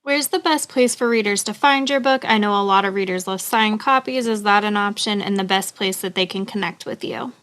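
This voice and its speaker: A woman's voice: medium pitch, a little fast, few pauses, fairly bright tone. A woman hosting an author interview, asking questions in a conversational style. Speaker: podcast interview host.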